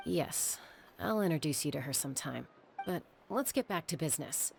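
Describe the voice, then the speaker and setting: Calm young female leader for a game: lowish, creaky, businesslike, faint ambience. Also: normal speed, soft background music. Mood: composed. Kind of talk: video game voice acting. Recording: faint ambient noise behind.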